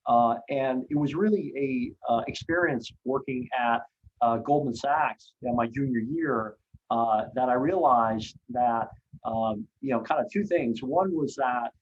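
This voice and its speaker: A man's voice: mid-range voice, unhurried. Man, fifties, grew up in hong kong, guest speaker, candid, filler-heavy, slightly dull video-call sound. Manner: frequent "uh" fillers, candid and self-deprecating. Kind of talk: online Q&A, telling his career story.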